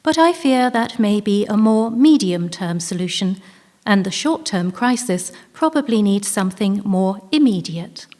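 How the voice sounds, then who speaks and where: A woman's voice: mid-range voice, expressive, a little slow, darkish tone, close to an everyday voice. Ship's AI, female actor: earnest, formal, literal, precise. Live stage drama in a reverberant hall. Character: earnest, literal-minded ship's artificial intelligence, newly self-advocating. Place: live on stage in an arts venue.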